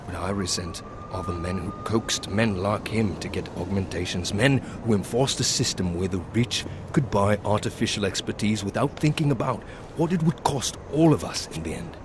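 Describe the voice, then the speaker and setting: An actor playing a weary, middle-aged male pilot in a video game, sardonic and dry, dropping low at the ends of lines. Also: normal speed, hardly any pauses, background noise, close to an everyday voice. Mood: bitter. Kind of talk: video game cutscene dialogue.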